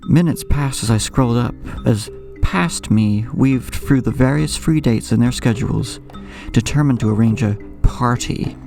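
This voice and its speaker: A gravelly, creaking young male storyteller played by an actor with clear music underneath. He is haunted and anxious, narrating a horror audio drama in measured, brooding, ornate sentences. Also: medium pitch, darkish tone. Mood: uneasy, reflective. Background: clear background music.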